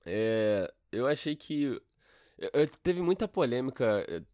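A man's voice: mid-range voice, very animated, slow pace, dark tone, dry recording, studio quality. A young man co-hosting a casual football chat, rambling with restarts and slang. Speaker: podcast co-host.

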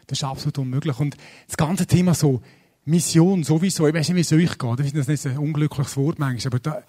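Swiss german guy preaching at church, kinda high voice, chatty and jokey. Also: breathy edge. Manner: lively, chatty and self-deprecating, with frequent fillers. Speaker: pastor. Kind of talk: sermon. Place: church service.

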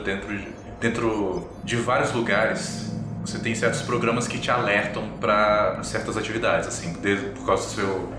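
A man improvising tabletop game narration as game master, haltingly searching for his words. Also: on the low side, roomy sound. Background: music.

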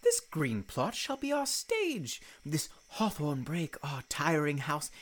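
A woman's voice: low voice, wildly swooping pitch, slow, full character voice. A woman playing an earnest, fussy male director of an amateur troupe, expressively acted for a Shakespeare radio play. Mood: brisk.

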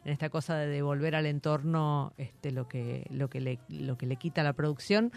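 A man's voice: fairly high pitch, fairly even intonation, normal speed, gravelly, a little mellow. A man with an Argentine accent hosting a radio interview, asking long, rambling questions full of fillers. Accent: Argentine Spanish.

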